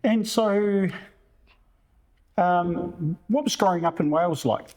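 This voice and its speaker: A man's voice: sits high, slow, darkish tone. A man hosting a casual interview, with frequent affirmations and hesitant, searching questions. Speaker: podcast host.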